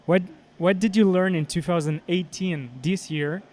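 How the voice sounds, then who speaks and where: A male host asking short interview questions in a brisk, encouraging way. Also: high voice, steady tone, a little mellow, a little hiss or hum.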